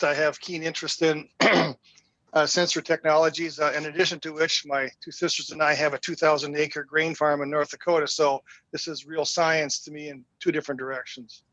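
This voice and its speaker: A man's voice: on the high side, fairly bright tone, dry recording, poor audio. A man, a technology strategist, introducing himself plainly on a video call.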